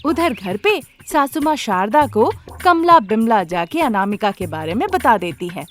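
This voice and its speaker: A woman's voice: a little high, big pitch swings, a little slow, soft background music. A woman narrator reading a scripted moral story for a cartoon.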